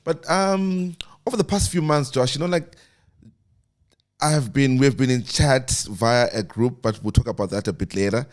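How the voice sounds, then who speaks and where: A man's voice: mid-range voice, moderate pace. Chatty podcast host, man. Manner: excitable, chatty, stumbles over words. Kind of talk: casual chat.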